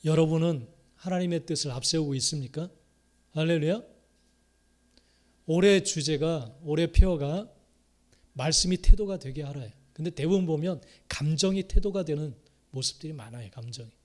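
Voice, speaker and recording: Middle-aged male Korean pastor, slightly high voice, sermon delivery with repeated phrases and sharp loud-to-soft shifts. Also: normal speed. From Korea, Chungcheong province. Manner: swings from loud rising exhortation to soft muttered asides; repeats key phrases, calls out hallelujah.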